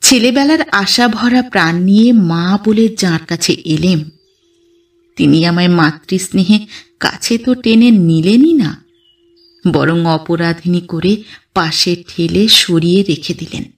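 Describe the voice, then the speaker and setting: A woman reading a literary story in the first person, with measured, expressive delivery as an audio story narrator. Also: lowish voice, recorded from a distance, faint music behind.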